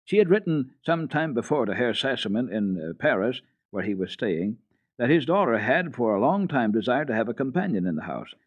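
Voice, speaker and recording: A man telling a children's story on a radio program in a dramatic, deliberate reading with expressive pauses. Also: medium pitch, dry recording. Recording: tinny band-limited archival radio recording.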